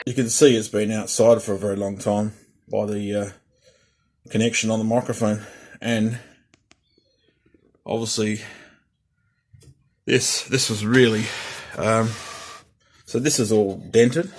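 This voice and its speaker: A man with a fairly low, slightly husky voice talks casually through a hands-on hobby demonstration. He rambles in an unscripted, halting way, as if explaining things while he handles them. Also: fairly even intonation, darkish tone. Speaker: hobbyist presenter showing a restored CB radio. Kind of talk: casual hands-on show-and-tell.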